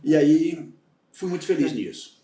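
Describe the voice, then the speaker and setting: A man's voice: on the high side, lively intonation, a little slow, dark tone. A man in his fifties from Salvador with a Bahian accent, recounting his career as a guest on a podcast in a reflective, candid way. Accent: Bahian Brazilian Portuguese. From Salvador, Bahia, Brazil. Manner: reflective, candid storytelling with frequent restarts. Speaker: guest, retired federal police agent. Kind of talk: casual podcast interview. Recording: roomy echo, voice heard from a distance.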